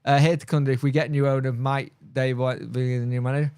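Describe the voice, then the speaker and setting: A man's voice: medium pitch, level tone, fast talker, darkish tone, clear, resonant tone, no room sound, clean studio sound. A man with a mild speech impediment, tired, hosting a casual live stream and chatting bluntly through viewer comments with lots of fillers and restarts. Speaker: football fan channel host.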